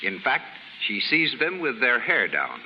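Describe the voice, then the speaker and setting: Male announcer, medium pitch, lively formal salesmanship, 1930s radio. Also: unhurried. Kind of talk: scripted 1930s advertising interview. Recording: muffled 1930s radio broadcast, faint hiss.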